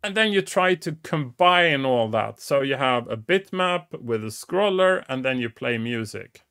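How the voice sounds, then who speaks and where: A middle-aged man, a native Swedish speaker, explains coding topics casually as a show host. His gravelly voice sits at an ordinary pitch. He talks with enthusiasm and stumbles through many restarts and fillers, close on a headset mic in a small room. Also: animated, a little slow, a bit bright. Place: small dim room indoors.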